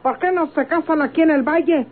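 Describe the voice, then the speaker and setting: A woman's voice: high voice, fairly quick, close to an everyday voice. Actress as sly, taunting rural Mexican woman. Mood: needling. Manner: needling, insinuating. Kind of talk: golden-age Mexican radio drama acting. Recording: tinny, noisy old radio recording.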